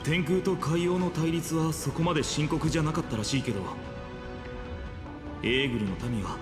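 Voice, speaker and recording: An actor playing a calm young male hero in an anime-style game, explaining things in a composed, well-spoken way. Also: fairly high pitch, rapid-fire, roomy sound, close to an everyday voice. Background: clear game music.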